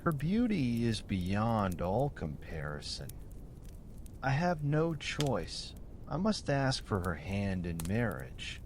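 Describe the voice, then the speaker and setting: A determined, lovestruck young storybook hero, read by a male narrator speaks slowly and firmly. Each solemn phrase rises and falls with resolve. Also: on the low side, big pitch swings, slight background noise. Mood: resolute. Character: determined, lovestruck young man of humble birth.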